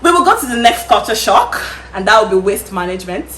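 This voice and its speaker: A woman's voice: medium pitch, very animated, fast talker, reverberant, background noise. A woman from Nigeria with a Nigerian accent, hosting a casual chat and speaking with many fillers and frequent laughter. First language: Yoruba. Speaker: host.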